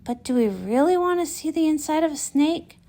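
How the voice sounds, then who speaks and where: High, very animated woman reading aloud. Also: a little fast. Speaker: read-along performer. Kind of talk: animated comic read-aloud in character voices.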